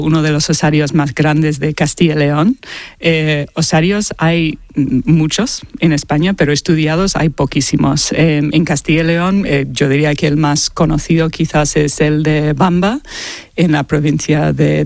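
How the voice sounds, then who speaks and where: A man in his late thirties with an Australian accent and a fairly high voice, recorded dry and close. A native English speaker, he gives expert interview answers haltingly, repeating words as he searches for the next one. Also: vocal fry, no room sound. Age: late 30s. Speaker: guest expert, archaeologist.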